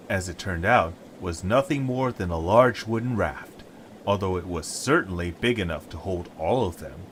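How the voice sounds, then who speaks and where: A man's voice: on the low side, slight background noise. A man narrating a scripted story as its storyteller.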